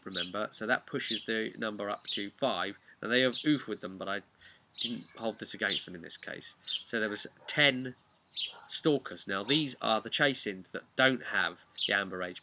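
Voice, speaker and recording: A man's voice: on the low side, background noise. A man speaking informally as a game master, recounting a tabletop campaign with emphatic asides. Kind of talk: vlog storytelling, informal explanation.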